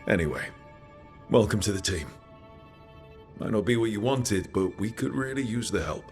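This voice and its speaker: An actor playing a steady, friendly male team leader in a fantasy video game. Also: fairly low pitch, moderate pace, soft background music. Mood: welcoming.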